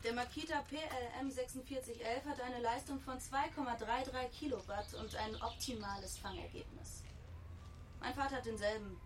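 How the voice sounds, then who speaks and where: A woman's voice: a little high, moderate pace, slight background noise, natural voice. A woman playing a blunt, cheeky teenage runaway girl with terse, matter-of-fact replies in a radio drama. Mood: blunt, challenging.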